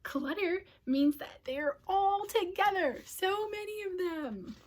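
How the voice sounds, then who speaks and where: A woman reading a storybook aloud to children, expressively, often dropping to a hush and addressing her listeners. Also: very high-pitched, lively intonation, slow pace. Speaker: storybook reader. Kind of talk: children's read-aloud narration.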